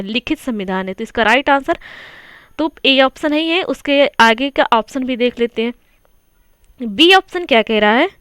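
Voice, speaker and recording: A woman's voice: sits high, fairly bright tone, dry recording, clean studio sound. A woman teaching an exam coaching lesson, going through quiz answers in a conversational way and asking the listener questions. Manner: conversational, repeats points and asks the listener questions.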